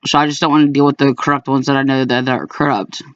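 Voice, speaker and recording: A man speaking informally as an aggrieved caller, in a halting, repetitive way, recording complaints about calls. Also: medium pitch, flat delivery, fairly bright tone, clean tone, dry recording, distant mic, muffled recording. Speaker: caller recording own phone calls to a police dispatcher. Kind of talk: informal complaint to camera and on phone.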